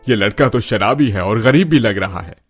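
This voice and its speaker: Man acting a low-voiced, reproachful middle-aged father. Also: normal speed, breathy edge, soft background music. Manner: questioning, reproachful.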